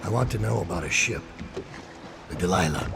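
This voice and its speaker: An actor playing a weary aging assassin, narrating in a grim, slow, brooding way with a gravelly voice. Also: male voice, deep voice, expressive, noisy background. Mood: cold. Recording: game cutscene under loud music.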